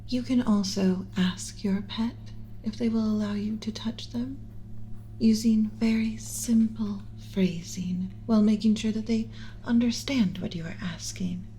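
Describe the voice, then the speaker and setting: A woman voicing a gentle, motherly alien scientist in an ASMR roleplay, speaking in a soothing, measured, instructional, often whispery way. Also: mid-range voice, slight background noise, lightly acted, rough recording. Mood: calm, instructive. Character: gentle motherly alien scientist teaching a class about pet human.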